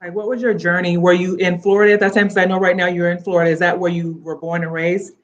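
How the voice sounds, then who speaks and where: A woman's voice: fairly low pitch, level tone, fast talker. A woman hosting a podcast who encourages her guest and stacks one follow-up question on another. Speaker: podcast host. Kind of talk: casual interview chat. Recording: dull lo-fi audio.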